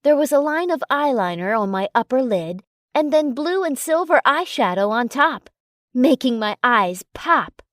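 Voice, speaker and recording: A woman's voice: sits high, lively intonation, a little slow, no room sound, studio quality. A woman reading a first-person romance story as a scripted narration.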